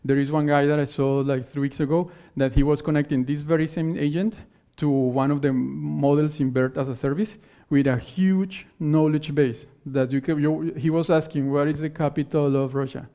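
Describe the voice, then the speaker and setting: A man whose first language is not English, giving a technical conference talk and answering questions in halting phrases that he often restarts. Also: fairly high pitch, fairly even intonation, dark tone. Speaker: conference speaker. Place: conference hall stage. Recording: dull lo-fi conference recording.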